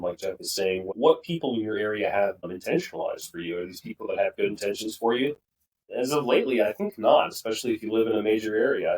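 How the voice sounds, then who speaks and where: A man's voice: lowish voice, a little mellow, recorded from a distance, rough recording. A young man co-hosting a podcast, giving earnest, opinionated political views in a rambling, casual way with frequent restarts. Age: young adult. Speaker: podcast co-host.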